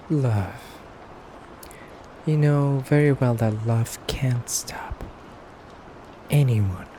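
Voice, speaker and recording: A man playing an effeminate vampire interrogator in an ASMR roleplay, speaking softly and deliberately with teasing, condescending menace and breathy pauses. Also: medium pitch, noisy background. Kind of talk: ASMR roleplay, soft intimate acting.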